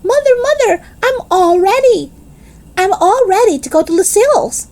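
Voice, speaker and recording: A woman voicing an excitable, headstrong little girl in a storybook, exuberant and emphatic. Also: very high-pitched, normal speed, pitched above the performer's own voice. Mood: excited, hollering.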